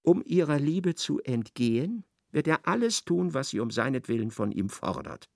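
Radio play narrator, literary storytelling, lively intonation, gravelly creak. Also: male voice, medium pitch, moderate pace, no echo, studio quality. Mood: measured narration.

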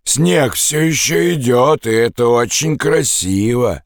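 A man voicing a surly, drunk man in an audiobook, calling out loudly and mockingly. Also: mid-range voice, lively intonation, slow pace, no room sound, clean studio sound, pitched above the performer's own voice. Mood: drunken calling. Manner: loud, calling out.

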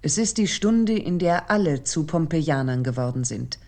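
A woman reading a scripted literary essay in a measured, solemn manner. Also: low-pitched, animated, warm tone. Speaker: narrator and literary commentator.

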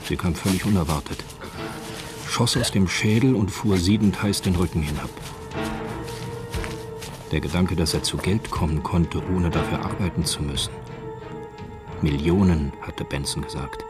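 A man's voice: low-pitched, moderate pace, pauses often, music in the background, natural voice. A man reading scripted narration for a radio drama.

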